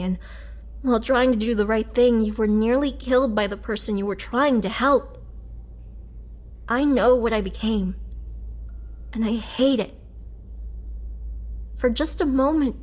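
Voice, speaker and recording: A woman playing a gentle, remorseful young vampire in an intimate roleplay audio drama, speaking softly and earnestly with halting, sometimes whispered delivery. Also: fairly high pitch.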